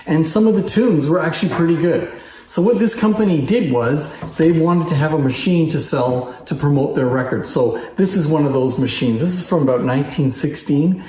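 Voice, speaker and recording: A man's voice: a little high, warm tone. A man giving a casual, unscripted show-and-tell in a conversational, enthusiastic way, as a presenter of antique machines. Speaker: antique phonograph collection presenter. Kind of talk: casual unscripted demonstration talk. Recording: roomy echo.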